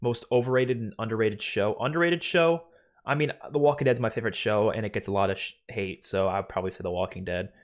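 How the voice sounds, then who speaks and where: A man's voice: lowish voice, fast. Young man streaming, loose New York lilt. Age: young adult. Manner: animated, swearing, laughs a lot. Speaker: streamer host. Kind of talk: casual live stream Q&A. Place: small home room, indoors. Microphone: studio mic on boom, headphones.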